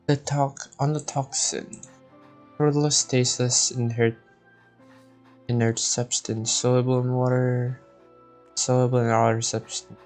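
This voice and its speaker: A young man live-streaming a game, casually commenting and reading aloud in a halting, stumbling way. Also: medium pitch. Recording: game music behind the voice.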